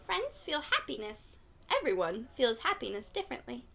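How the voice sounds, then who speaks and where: A woman reading a picture book aloud to young children in an encouraging, expressive way, often putting questions to her listeners. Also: fairly high pitch, normal speed, gravelly. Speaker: children's read-aloud storyteller.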